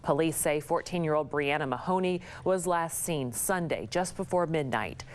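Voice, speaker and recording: A woman's voice: sits low, normal speed. A woman anchor reading local news briefs with an inflected delivery. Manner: lively, inflected newsreader delivery.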